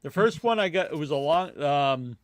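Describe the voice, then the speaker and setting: A man with a slightly high voice hosts a casual horror podcast. He speaks haltingly and wanders as he goes, often letting sentences trail away. The sound is dry, with little room in it. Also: animated, no room sound.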